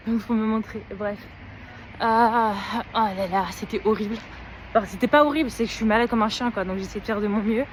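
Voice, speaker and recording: A woman's voice: on the high side, fairly quick, a little hiss or hum. Sick young woman vlogging. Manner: chatty, slangy, rambling with many fillers. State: sick with a blocked nose and sore throat. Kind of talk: casual vlog chat. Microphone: phone held at arm's length.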